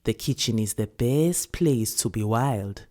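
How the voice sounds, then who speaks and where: A woman's voice: rumbling low, lively intonation, a little slow, darkish tone. A woman voicing a weary, loving old village grandmother, coaxing and teasing as she savours her words. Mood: playful, coaxing. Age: older. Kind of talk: storybook character voice.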